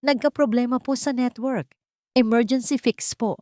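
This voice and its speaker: A woman's voice: a little high, moderate pace, dry recording, voice pushed up, natural voice. Female narrator as secretive infiltrator, bold but controlled, Filipino accent, audiobook dialogue, dry recording. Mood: forced calm. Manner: controlled, pretending calm. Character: bold, secretive infiltrator named Ron, keeping calm. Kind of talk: dramatic audiobook character dialogue.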